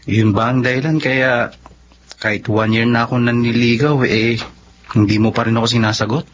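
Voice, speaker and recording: A man's voice: mid-range voice, fairly even intonation, normal speed, lightly acted. A Filipino man playing an earnest, devoted young suitor in a radio drama, with a pleading, sentimental delivery. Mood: pleading, lovesick. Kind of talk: Tagalog radio drama acting. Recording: dull lo-fi radio drama, noisy ambience.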